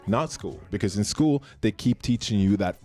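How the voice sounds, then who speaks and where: A man's voice: lowish voice, lively intonation, moderate pace, dark tone, soft background music. A young man from Sweden hosting a podcast, chatting casually and playfully, laughing often and swearing freely. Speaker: podcast host. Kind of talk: casual chat.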